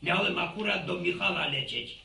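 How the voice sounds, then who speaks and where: A man's voice: high-pitched, animated, moderate pace, bright, forceful tone, exaggerated voice. An actor playing a bumbling, wry old village grandfather who stammers and repeats himself in radio drama style. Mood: teasing, flustered. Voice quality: creaky. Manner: stammering, repetitive, jocular. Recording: roomy, distant, faintly noisy radio recording.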